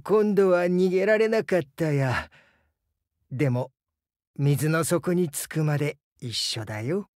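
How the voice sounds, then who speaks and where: A man playing a gentle, bashful crocodile diving instructor in a Japanese game, earnest and hesitant, easily flustered. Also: on the high side, lively intonation, slow, no echo, clean studio sound. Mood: gentle, wistful. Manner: soft-spoken, hesitant, earnest, stammers when flustered. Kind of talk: Japanese game character voice lines.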